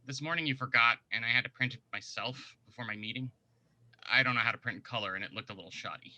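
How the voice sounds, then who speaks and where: Man acting sharp, quick, self-absorbed boss. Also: medium pitch, low-quality recording. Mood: oblivious, entitled. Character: Jonathan, self-absorbed, oblivious male office boss. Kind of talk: table read of a screenplay.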